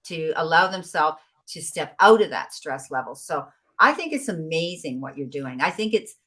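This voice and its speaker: A middle-aged woman hosting a podcast, with a slightly low voice. She talks in a chatty, conversational way and keeps affirming what her guest says. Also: very animated, pushed and bright, breathy edge. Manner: chatty, agreeable, quick to affirm. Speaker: podcast host. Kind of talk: podcast interview.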